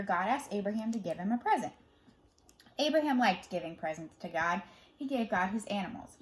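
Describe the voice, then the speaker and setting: A woman reading a children's Bible story aloud as a storyteller, dramatic in delivery, moving between emphatic and hushed phrasing. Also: mid-range voice, rough recording.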